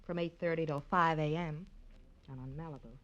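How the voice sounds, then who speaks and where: Female actor as brassy dame, lowish voice, American accent, flirtatious, cynical, vintage radio crime drama. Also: fairly even intonation, muffled recording, close to an everyday voice. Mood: wry. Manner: wry, teasing, unhurried.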